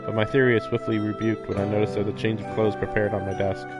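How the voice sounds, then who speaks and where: A man's voice: fairly low pitch, level tone, fast talker, loud music behind the voice. A man reading a visual novel's narration aloud on a stream, breaking into casual commentary.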